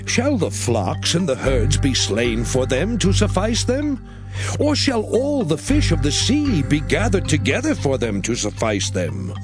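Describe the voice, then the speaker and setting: An actor playing an earnest prophet and leader in a dramatized scripture recording, pleading with drawn-out, impassioned words. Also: male voice, fairly high pitch, huge pitch swings. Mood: exasperated pleading. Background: music.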